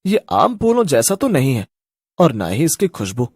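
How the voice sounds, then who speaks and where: An actor playing a naive, lovestruck young man with a husky voice and an earnest, wondering way of talking in a horror story. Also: medium pitch, huge pitch swings, moderate pace, a little mellow, no room sound, heavily acted. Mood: puzzled.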